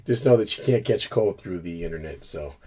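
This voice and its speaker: Raspy man hosting a sports show. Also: sits low, animated, a little fast, mellow. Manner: chatty, laughs often, trips over words. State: raspy from a cold. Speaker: co-host. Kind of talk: casual sports talk. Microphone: video call.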